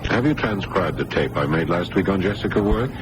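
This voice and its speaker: Low-voiced concerned doctor, noisy radio. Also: male voice, expressive, a little fast. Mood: businesslike. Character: concerned, methodical male doctor. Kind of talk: old-time radio drama acting. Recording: noisy old radio broadcast.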